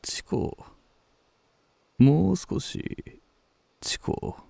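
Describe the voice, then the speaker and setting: Lovestruck kabuki actor, period radio drama. Archaic, theatrical, very slow, dry close sound. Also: male voice, mellow, dry recording. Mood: ardent confession. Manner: archaic, theatrical, drawn-out delivery. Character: intense Genroku-era kabuki actor confessing forbidden love.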